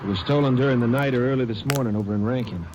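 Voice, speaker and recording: A man's voice: mid-range voice, very fast, noisy background, lightly acted. An actor playing a helpful male lawman briefing a Ranger in plain terms, in a 1940s radio drama. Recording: lo-fi vintage radio recording with crowd.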